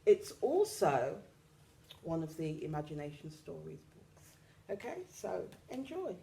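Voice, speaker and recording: A woman reading a children's picture book aloud expressively, with dramatic, deliberate phrasing for young listeners. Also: low voice, very animated, gravelly, dark tone, a little hiss or hum. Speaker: storytime reader.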